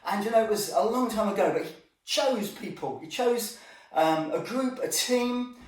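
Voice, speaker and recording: A man's voice: high-pitched, expressive, moderate pace. A man telling an informal children's Bible story, chatting directly to his young listeners as he presents. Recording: echoey room, distant microphone.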